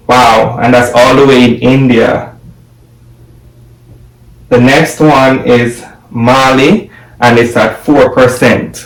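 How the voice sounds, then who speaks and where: A young man from Jamaica gives a casual video commentary, exclaiming as he goes. He talks at an unhurried pace. His voice bounces around a roomy home office, heard from some distance. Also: mid-range voice. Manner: excited, exclaiming, repeating figures. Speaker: vlogger. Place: home office room, indoors. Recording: echoey room, voice from afar.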